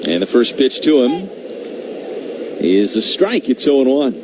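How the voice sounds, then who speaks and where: Male baseball radio announcer, medium pitch, conversational and dry-witted, with clear noise behind the call. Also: sing-song, a little slow. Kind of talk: live radio play-by-play commentary. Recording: noisy stadium broadcast feed.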